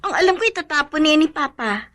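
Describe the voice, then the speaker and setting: A woman acting in a radio drama as a kind, helpful young older sister, gentle and encouraging. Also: sits high, sing-song, fast. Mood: hesitant.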